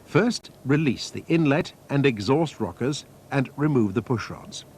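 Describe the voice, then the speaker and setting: Man narrating with very lively pitch, slight noise. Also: unhurried. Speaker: narrator of a technical training film. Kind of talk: scripted instructional narration. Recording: noisy archive training-film soundtrack.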